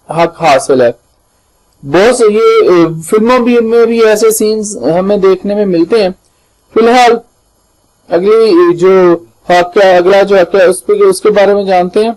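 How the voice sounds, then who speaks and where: A man with a Pakistani accent presenting an informal slide-by-slide lesson, halting and repeating himself as he addresses his viewers. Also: high-pitched, a little mellow, poor audio. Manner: halting and repetitive, addresses viewers often, reads English legal terms slowly. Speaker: host of a legal explainer channel.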